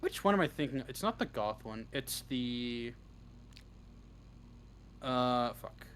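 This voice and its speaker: A man with an average-pitched voice talks casually as a guest in a live interview. He hesitates, stops and starts, and swears freely. The audio has clear noise behind him. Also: lively intonation, slow pace, fairly bright tone. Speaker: interview guest, script writer.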